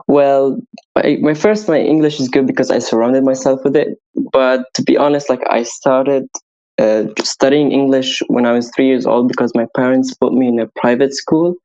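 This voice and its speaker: A man's voice: medium pitch, fairly even intonation, moderate pace, dark tone, dull audio. Hesitant young man. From Syria. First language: Arabic. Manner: hesitant, restarts and searches for words. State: tired from staying up all night. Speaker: guest music producer. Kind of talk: casual interview answers.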